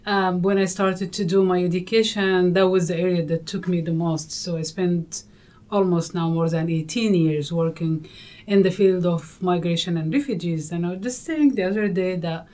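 Low-voiced woman from Egypt, measured. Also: fairly even intonation, warm tone. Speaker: guest expert on migration. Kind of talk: casual podcast conversation.

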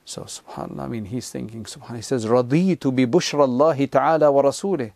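A man delivers a religious lesson, quoting Arabic and then unpacking it in plain conversational English. His voice is gravelly and mellow, with a relaxed delivery. The sound is dry and close. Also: mid-range voice, very fast, dry recording. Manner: conversational, explains Arabic quotes in English with frequent asides. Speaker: Islamic teacher. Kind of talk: religious lecture retelling hadith.

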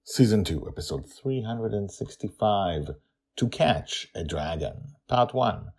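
Very animated low husky man hosting. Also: a little slow. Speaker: podcast host and storyteller. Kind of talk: informal episode introduction and commentary.

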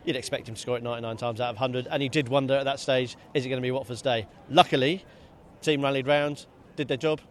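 A man's voice: medium pitch, steady tone, fast talker, hardly any pauses, slight background noise. A man co-hosting a casual football podcast outdoors, wry and self-deprecating. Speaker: podcast co-host. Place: outdoors by a stadium.

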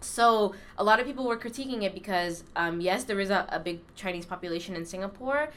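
A woman in casual conversation, talking relaxed and hesitant with many ums. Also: mid-range voice, brisk. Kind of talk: casual chat.

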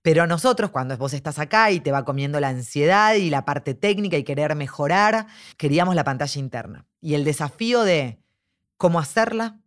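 A woman's voice: low voice, animated, fairly quick. Upbeat Argentine woman in an interview. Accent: Argentine Spanish. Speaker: interviewee, immersive dome cinema organizer. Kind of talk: casual interview.

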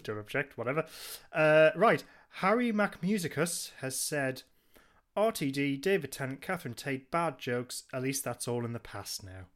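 A man's voice: medium pitch, animated, moderate pace, fairly bright tone. A man hosting a podcast, chatting casually and reading out messages from listeners.

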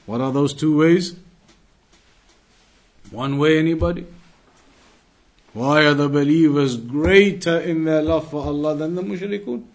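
A man's voice: fairly high pitch, a little slow, pauses often. A man giving a religious class lecture as a teacher, speaking emphatically with repetition and rhetorical questions. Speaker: Islamic studies teacher.

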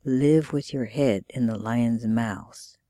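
Woman voicing a grave, dark-toned dying grandfather. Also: extremely low pitch, slow, no room sound, clean studio sound. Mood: grave urging. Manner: grave, exhorting. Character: dying old grandfather, former slave, bitter and urgent.

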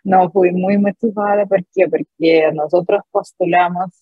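Russian-accented woman, husky and dry. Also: fairly low pitch, steady tone, no echo, narrow, tinny audio. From Siberia, Russia. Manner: halting, restarts with drawn-out fillers and frequent laughs. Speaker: startup founder, guest. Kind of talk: casual interview chat.

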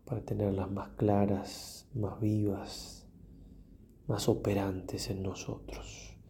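Slow, mellow priest leading meditation. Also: male voice, lowish voice, little pitch movement, vocal fry, slight background noise. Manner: solemn, reflective, with long pauses and softly trailing phrases. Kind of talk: guided prayer meditation.

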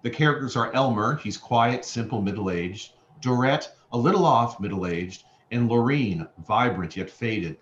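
Man reading stage directions, lowish voice, echoey dull mic w some hiss. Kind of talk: scripted narration. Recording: roomy, muffled, slightly noisy microphone.

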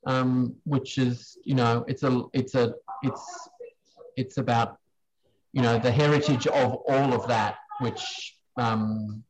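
A man giving unscripted, hesitant answers in a question-and-answer session, halting as he searches for words. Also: medium pitch, steady tone, rough recording. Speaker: guest speaker, screen industry professional answering questions.

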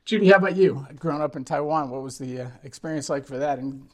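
A man's voice: on the high side, quick pace. A man hosting an interview, asking questions and adding short backchannels. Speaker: interviewer, host. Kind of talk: conversational interview.

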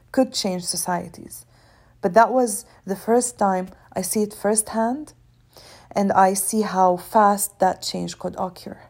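A woman's voice: mid-range voice, dark tone. A young adult woman from Syria, an actress guest telling her story earnestly in an interview and often repeating words as she searches for them. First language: Arabic.

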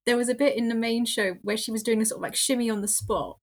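A woman's voice: on the high side, steady tone, fast talker. A woman in casual chat, giving her commentary informally and thinking aloud.

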